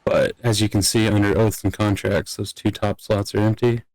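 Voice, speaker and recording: A man's voice: lowish voice, little pitch movement, normal speed, a little mellow, lightly acted, poor audio. A man playing a casual, wryly teasing young courtier with a hesitant delivery in an improvised Minecraft roleplay. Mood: hesitant, explaining.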